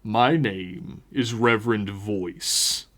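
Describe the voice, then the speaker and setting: A man voicing a huge, calm, menacing cult reverend for a tabletop horror game, with a deep guttural voice and solemn, measured delivery. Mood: calm menace. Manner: slow, measured, solemn preaching.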